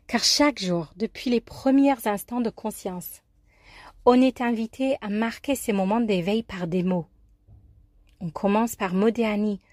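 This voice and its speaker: A woman from the United States with an American accent, giving a scripted, expressive personal talk as a life coach and columnist. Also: mid-range voice, dry recording, studio quality. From United States, New York. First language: English.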